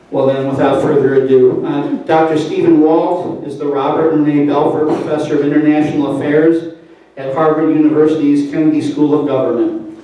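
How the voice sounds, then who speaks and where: A man hosting a community event, reading announcements in a halting way with lots of fillers. His voice is mellow and level, and it comes from far off in a very echoey church hall. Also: a little high. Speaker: event host. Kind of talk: announcements and speaker introduction.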